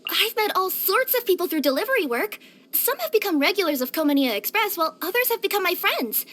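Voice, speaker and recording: A young cat-spirit courier girl from a video game. Her voice is extremely high and cutesy, eager and cheerful by temper. It swings up and down expressively as she talks. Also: quick pace, exaggerated voice. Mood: warm, chatty. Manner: expressive, cutesy, with gasps and hesitant murmurs. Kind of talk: scripted video game character voice lines.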